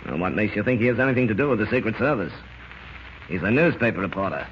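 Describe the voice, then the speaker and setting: An actor playing a hard, shrewd criminal schemer in a 1940s radio drama, blunt, clipped and sceptical. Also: male voice, on the low side, lively intonation, fast talker, close to an everyday voice. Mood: urgent, sceptical. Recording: scratchy, muffled old-time radio recording.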